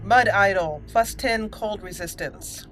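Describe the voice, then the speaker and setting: A woman hosting a roleplay game playthrough, deliberately narrating her actions aloud. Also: medium pitch, fairly bright tone, poor audio. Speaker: gaming channel host. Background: faint game music.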